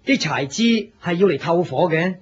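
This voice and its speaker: A man's voice: high-pitched, animated, normal speed, breathy edge, dull audio. A man with a Hong Kong Cantonese accent playing a friendly, simple, devout senior disciple in a radio drama, explaining things plainly and patiently.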